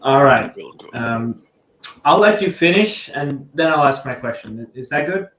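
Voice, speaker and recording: A man's voice: mid-range voice, animated, unhurried, fairly bright tone. Guy on a stream call, kinda hesitant, stumbles a lot, sounds far off in an echoey room w/ faint hiss. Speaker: call-in guest. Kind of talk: casual question on a livestream. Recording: echoey, distant, faint noise.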